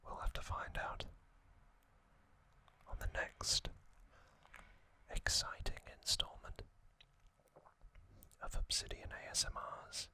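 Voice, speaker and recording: A man hosting an ASMR channel, speaking entirely in a soft unvoiced whisper close to the microphone, gentle and unhurried with frequent soft hesitations. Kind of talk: whispered ASMR trivia recap.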